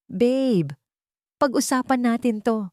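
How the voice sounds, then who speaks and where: Woman as arrogant cornered husband, desperate, raised pitch, close dry sound, audiobook drama. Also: slow pace, warm tone, dry recording. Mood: desperate pleading. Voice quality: hoarse, cracking when pleading. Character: greedy abusive husband, shouting then desperately pleading, cornered.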